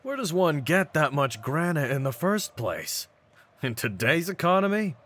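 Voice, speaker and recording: A man voicing a cocky, brawny pit-fighting champion in a roleplay audio, with a deep voice and streetwise, mocking swagger. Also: big pitch swings, measured pace, fairly bright tone. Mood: mocking, assured. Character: cocky, brawny half-breed pit-fighting champion, hyping his partner. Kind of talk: scripted in-character roleplay audio.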